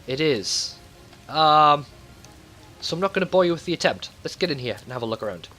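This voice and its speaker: A man's voice: on the high side, expressive, a little fast, bright, forceful tone, soft background music. A man hosting a let's play with casual, rambling gameplay commentary and loose swearing.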